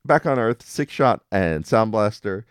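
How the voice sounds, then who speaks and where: Animated low-voiced man recapping cartoons. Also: a little slow, clean tone, no echo, studio quality. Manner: wry, playful, dramatic emphasis. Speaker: podcast host. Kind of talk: casual episode recap.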